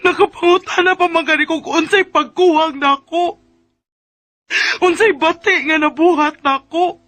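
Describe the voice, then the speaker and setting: High-voiced heartbroken young Filipina bride. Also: female voice, measured pace, fairly bright tone, dry recording. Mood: hurt, reproachful. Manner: emotional, reproachful. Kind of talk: Filipino radio drama acting. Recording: music bed under the voice.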